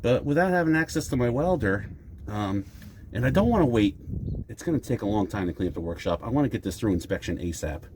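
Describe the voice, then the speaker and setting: A man's voice: fairly low pitch, lively intonation, fast, background noise. A man hosting a casual do-it-yourself car repair vlog, talking in a rambling, self-deprecating way with a stuffy nose. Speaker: DIY video host.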